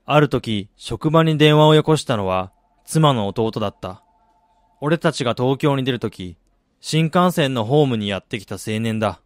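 A man acting in a radio drama as a driven businessman and husband, telling his life story in a measured, reflective way. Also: mid-range voice, animated, dry recording, studio quality, natural voice. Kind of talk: radio drama monologue, literary narration. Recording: music bed behind the voice.

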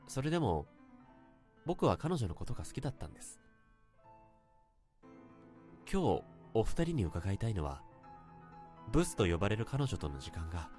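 A man's voice: sits low, darkish tone. An actor playing an earnest salaryman in his thirties, telling his story politely and reflectively in a Japanese radio drama, in a literary style. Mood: wistful, earnest. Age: 30s. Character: earnest 32-year-old salaryman confessing a bittersweet youthful love.

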